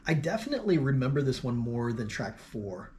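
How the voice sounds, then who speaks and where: A man's voice: mid-range voice, big pitch swings, fairly quick, a little mellow, a little hiss or hum. A man co-hosting a casual album discussion in an informal, conversational way. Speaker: music review show co-host. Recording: music clearly playing behind the voice.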